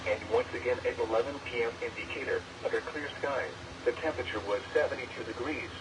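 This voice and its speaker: A synthetic male text-to-speech voice reading scripted weather announcements over the radio in a formal, measured, segmented way. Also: mid-range voice, fairly even intonation. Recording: noisy weather radio picked up by microphone.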